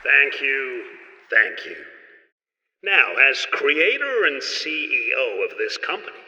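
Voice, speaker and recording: A man playing a gloating villain tycoon, a head in a tank, giving a pompous, deliberate speech in an audio drama. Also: mid-range voice, sing-song, in a large hall, muffled recording, noisy background. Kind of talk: theatrical public speech in an audio play.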